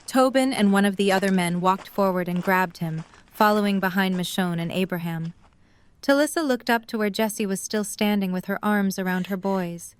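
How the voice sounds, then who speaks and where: A woman reads scripted story narration. Her voice is mid-pitched and cleanly resonant, suited to an audio drama narrator. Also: moderate pace, clean tone.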